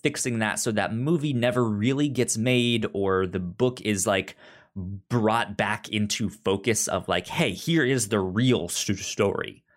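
A man co-hosting a casual book discussion, talking haltingly and stumbling over the starts of words. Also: on the low side. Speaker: podcast co-host.

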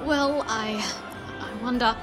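A woman playing a brisk, harried parade organiser in an old-time radio drama. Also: on the high side, over music. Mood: pleading, relieved. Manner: brisk, urgent.

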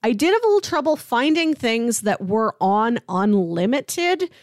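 Higher-voiced woman chatting casually, dry close sound. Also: sing-song, a little slow, dry recording. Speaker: podcast co-host. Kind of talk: casual podcast chat, reading out comic blurbs.

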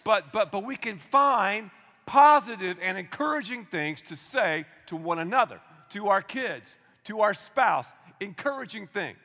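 A man, preaching a sermon in an energetic, conversational way with asides to the congregation. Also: high voice, unhurried. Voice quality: loud voice. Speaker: pastor. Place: large church auditorium. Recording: long hall reverb.

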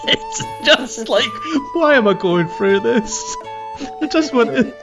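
A man's voice: very high voice, animated, takes it slowly, vocal fry, music in the background. A man co-hosting a podcast, chatting casually about a video game in a hesitant, chuckly, conversational way. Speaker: podcast co-host.

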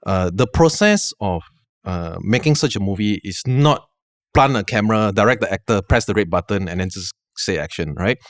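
Man guest, smooth resonant voice, dry studio sound, lively anecdotes. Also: on the low side, normal speed, no room sound. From Thailand. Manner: animated storytelling, acts out quoted people, many restarts. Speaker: guest film director. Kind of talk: casual podcast chat.

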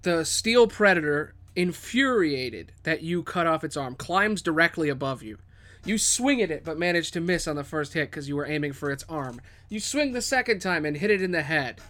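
A man with a slightly high voice narrates a tabletop fantasy game as game master. His delivery is casual and improvised, full of vivid description and informal swearing. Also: expressive, moderate pace, bright, forceful tone.

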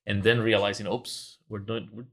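A man from Venezuela speaks in a very deep voice with a gravelly edge. He talks casually as a guest, stopping and restarting sentences and laughing between phrases. His delivery is low-key and conversational. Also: little pitch movement, moderate pace, fairly bright tone. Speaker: startup co-founder, guest.

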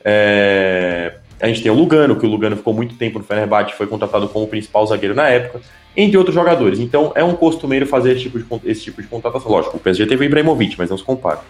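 A man co-hosting a casual podcast chat, talking haltingly with lots of filler sounds and restarts. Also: on the low side, animated, reverberant, faint music behind. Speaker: podcast co-host.

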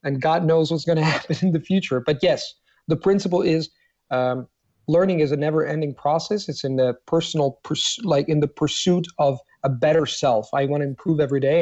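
A man's voice: a little high, brisk, a little mellow, dry recording, lo-fi sound. A man whose first language is Italian, answering interview questions in a thoughtful, hesitant way with many fillers and restarts. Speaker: guest, polyglot. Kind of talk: casual interview talk.

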